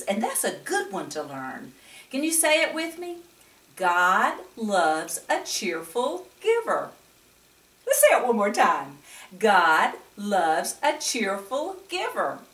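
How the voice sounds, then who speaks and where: A woman's voice: mid-range voice, fairly bright tone. An older woman reading a story and teaching a Bible lesson to children in a grandmotherly way, with questions and asides. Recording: echoey room, distant microphone.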